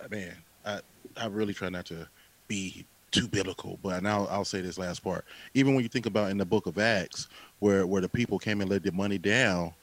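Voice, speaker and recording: A man in his late thirties in a casual podcast conversation, talking with animated emphasis, repeating phrases and using casual slang. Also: lowish voice, poor audio. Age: late 30s.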